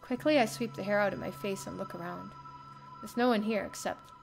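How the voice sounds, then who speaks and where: A young woman streamer reading a visual novel aloud as expressive, dramatic first-person narration. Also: mid-range voice, a little fast, gravelly, soft background music. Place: indoor room, livestream setup.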